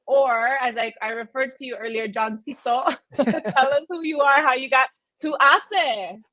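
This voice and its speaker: High-voiced upbeat woman hosting, dull lo-fi audio. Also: moderate pace, dry recording. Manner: upbeat, engaging, mixes Spanish phrases into English. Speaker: host of a livestream chat show. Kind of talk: casual chat, welcoming an online audience.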